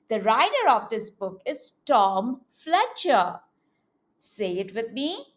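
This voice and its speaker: Slow, high-pitched woman reading to children. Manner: animated, exclaiming, with coaxing questions and repetition. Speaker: children's storybook reader and teacher. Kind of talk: interactive read-aloud for young children.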